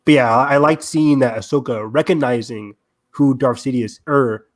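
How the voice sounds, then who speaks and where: A man's voice: medium pitch, normal speed. A man hosting a casual fan discussion podcast, chatty and enthusiastic, readily agreeing with others. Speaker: podcast host.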